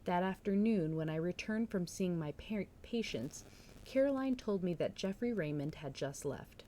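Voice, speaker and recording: A woman's voice: fairly low pitch, expressive, moderate pace, warm tone. A woman reading a story aloud on a live stream and commenting on it casually. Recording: noticeable background noise.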